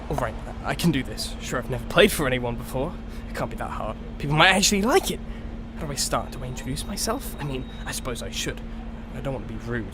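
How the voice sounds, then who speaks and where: A man's voice: mid-range voice, expressive, fast talker, heavy background noise, natural voice. A man playing a mopey, anxious horse who dreams of music, rambling and sarcastic in an audio story. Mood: nervous. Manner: sighing, rambling self-talk, sarcastic. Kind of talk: cartoon-like audio story acting.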